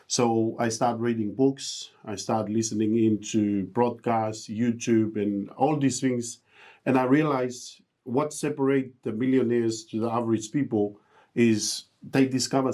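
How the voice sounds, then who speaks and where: A man's voice: medium pitch, mellow. A middle-aged man from Tonga, speaking earnestly as a podcast guest and motivational speaker, his English grammar uneven. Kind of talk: podcast interview.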